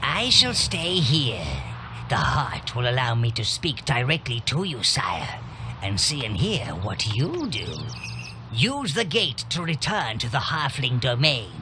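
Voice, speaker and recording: Slow creaky old goblin minion for a fantasy game, sly and grovelling, pitch all over the place. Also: male voice, wildly swooping pitch, noisy background. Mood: fawning, sly. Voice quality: gravelly, creaky. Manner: theatrical, obsequious, disdainful. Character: scheming, fawning old goblin-like minion advisor serving his evil master. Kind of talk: video game character acting. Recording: music behind the voice.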